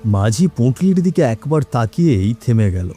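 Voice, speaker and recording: A man's voice: mid-range voice, sing-song, normal speed, mellow, faint music behind. A man narrating a scripted horror story as a storyteller.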